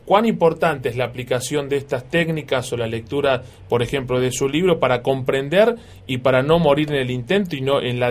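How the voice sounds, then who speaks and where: A man with an Argentine accent hosting a radio interview, asking long, rambling questions full of restarts. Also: mid-range voice. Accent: Argentine Spanish. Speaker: radio host.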